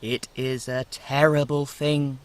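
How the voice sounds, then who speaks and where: A man voicing a grave, reluctant red rose tree in a storybook, with a slow, solemn, foreboding delivery. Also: mid-range voice, level tone, a little hiss or hum, voice pushed up, lightly acted. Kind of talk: storybook character voice.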